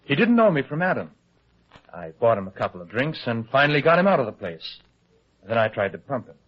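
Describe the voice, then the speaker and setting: An actor playing an anxious, colloquial, fast-talking newspaper reporter in old-time radio detective style. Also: male voice, medium pitch. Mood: eager, conspiratorial. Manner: colloquial, excitable, hesitant when pressed. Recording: dull, lo-fi old radio drama recording.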